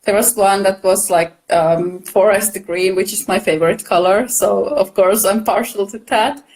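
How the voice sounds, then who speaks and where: A woman from Finland, a native Finnish speaker, talking casually as a knitwear designer guest in a live video chat, soft-spoken and friendly. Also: mid-range voice, normal speed, low-quality recording.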